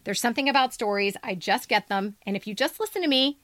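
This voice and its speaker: A woman's voice: fairly high pitch, lively intonation, fast, a bit bright. A woman co-hosting a podcast as a story editor, talking in a direct, emphatic, conversational way.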